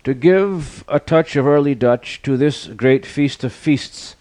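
A man's voice: mid-range voice, slow, darkish tone, dry recording, pitched above the performer's own voice, close to an everyday voice. A man voicing a pompous, imperious lion king in a declamatory, commanding manner.

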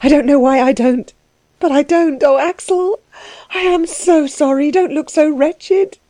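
A woman voicing a tearful, apologetic young woman in an audiobook story, halting and pleading as she cries. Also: sits high, warm tone, no room sound, pitched above the performer's own voice. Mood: sobbing, sorry. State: crying.

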